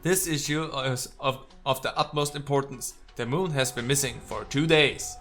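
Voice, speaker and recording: A man's voice: medium pitch, normal speed, a bit bright, faint music behind. A man doing let's play commentary, reading game text aloud and reacting with sarcasm and exasperated swearing. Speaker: let's player.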